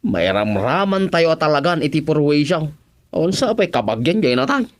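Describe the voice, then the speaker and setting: A man playing a teasing, excitable village councilman in a radio drama comedy. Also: on the high side, normal speed. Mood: teasing, amused.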